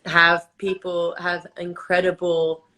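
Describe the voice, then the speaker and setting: Low-voiced woman in casual livestream chat. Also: completely flat delivery, takes it slowly, sharp tone. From England. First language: Albanian. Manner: chatty, many fillers and restarts. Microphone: phone on a video livestream.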